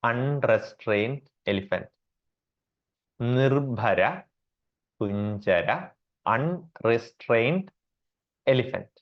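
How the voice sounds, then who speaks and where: A man with an Indian accent teaching a class on Sanskrit verses, repeating each term slowly with many fillers. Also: medium pitch, lively intonation, muffled recording. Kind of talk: explanatory class lecture.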